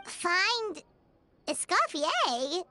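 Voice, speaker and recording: A woman voicing a tiny, excitable, childlike flying sidekick girl in a video game. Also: extremely high pitch, very slow, soft background music, full character voice. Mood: curious, eager. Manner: speaks of herself in third person.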